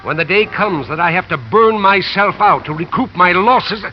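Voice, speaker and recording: A man's voice: sits high, expressive, normal speed, soft background music. An actor playing a haughty, indignant older businessman in 1950s radio drama, pompous and formal. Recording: muffled, noisy vintage radio recording.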